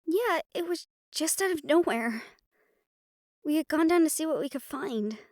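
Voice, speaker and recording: A woman's voice: high-pitched, animated, moderate pace, darkish tone, no echo, studio quality. A woman playing an anxious, bewildered young newcomer to the supernatural, hesitant and earnest, in an audio roleplay drama. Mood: confused.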